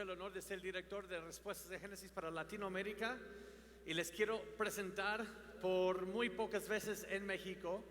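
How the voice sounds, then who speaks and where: A man interpreting a speaker at a church conference, talking quickly and correcting himself as he goes. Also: high-pitched, a little hiss or hum. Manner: quick, lively delivery with restarts and self-corrections. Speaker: ministry director and interpreter. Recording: reverberant hall with faint ambience.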